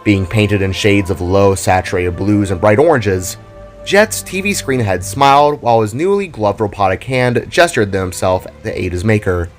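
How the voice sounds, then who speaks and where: A man's voice: on the low side, moderate pace. A man narrating a scripted dramatic story in a theatrical, storybook manner. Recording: music under the voice. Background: background music.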